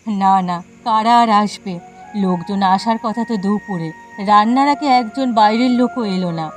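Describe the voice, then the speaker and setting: A woman's voice: medium pitch, a little slow, faint music behind, natural voice. A woman voicing an aggrieved village wife who runs a food stall in a cartoon, plaintive and exasperated. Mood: dejected. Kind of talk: cartoon dialogue acting.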